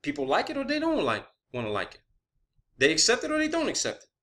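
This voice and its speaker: A man's voice: sits high, wildly swooping pitch, fast, fairly bright tone. A man delivering an unscripted, profane rant in a blunt, emphatic manner, often repeating phrases for emphasis, as an online video creator.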